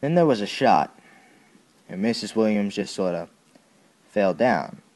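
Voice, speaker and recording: A man performs a young Southern soldier telling his story in an audio drama. The voice is low and mellow, and the words come slowly and haltingly, in plain folksy speech weighted with grief and bitterness. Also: animated. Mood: shaken recounting. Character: young Southern soldier recounting a childhood atrocity, bitter and grieving. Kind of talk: dramatic first-person monologue, audio drama.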